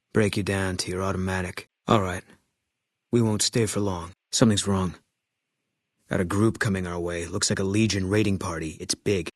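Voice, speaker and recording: A haunted ex-sniper companion in a video game, who talks in short, guarded sentences. His voice is very low and husky, recorded dry and close with no room around it. His delivery is flat and curt, like a soldier who would rather not talk. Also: no room sound. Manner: terse, clipped, flat and guarded. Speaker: companion character. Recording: dry studio sound.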